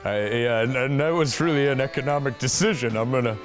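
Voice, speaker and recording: A man's voice: mid-range voice, fairly quick, over music. Male performer from Minnesota, joking, self-deprecating, mid-range voice. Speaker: stuntman, comedian and musician. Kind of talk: casual interview and stage banter.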